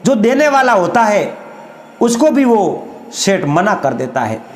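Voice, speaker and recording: Guy telling a village folk tale, slow drawn out delivery, keeps saying friends, high voice. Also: big pitch swings, reverberant. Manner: addresses listeners as friends, unhurried and drawn out. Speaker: storyteller. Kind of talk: folk story narration.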